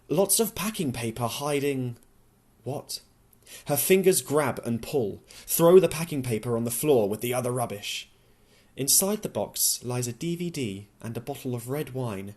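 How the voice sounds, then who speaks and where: A man reads literary fiction as an audiobook narrator. His medium-pitched voice moves widely in intonation, and he phrases dramatically, in short, deliberate units.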